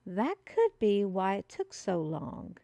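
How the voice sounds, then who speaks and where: A woman playing an excitable, earnest kingdom messenger in a fantasy audio roleplay, with theatrical proclaiming and formal old-fashioned wording. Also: medium pitch, takes it slowly, no room sound, pitched below the performer's own voice. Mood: musing. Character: excitable, earnest female kingdom messenger bearing a food prophecy. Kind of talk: fantasy audio roleplay, speaking to one listener.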